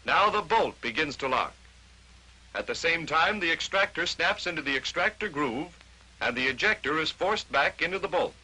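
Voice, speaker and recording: A man's voice: fairly high pitch, animated, normal speed, bright, forceful tone, breathy edge, low-quality recording. An American man narrating a 1940s instructional training film, explaining step by step in short declarative statements on a vintage film soundtrack.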